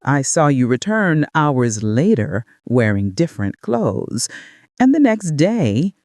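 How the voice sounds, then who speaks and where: A woman's voice: sits low, big pitch swings, slow pace, a little mellow, dry recording, studio quality, pitched below the performer's own voice, full character voice. A woman voicing a smug blackmailing older man. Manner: smooth, mocking and smug.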